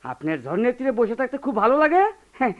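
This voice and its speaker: Radio drama simple grumbling houseboy; rural Bangladeshi accent, animated high voice, very narrow tinny recording. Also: female voice, fast. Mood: eager. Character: simple, devoted young servant boy, chatty and grumbling. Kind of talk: Bengali radio play acting. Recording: thin, phone-like old radio recording.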